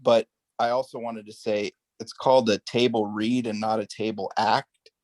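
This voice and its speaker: A man speaking in a casual group discussion, measured and a little hesitant. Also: on the low side, flat delivery, darkish tone, no echo, poor audio. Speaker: study group participant.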